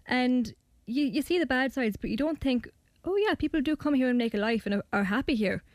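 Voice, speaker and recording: A woman's voice: high voice, animated, fairly quick, dry recording. A young Irish woman talking thoughtfully about her documentary and narrating it. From Ireland. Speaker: guest and documentary maker. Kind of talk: interview chat and scripted narration.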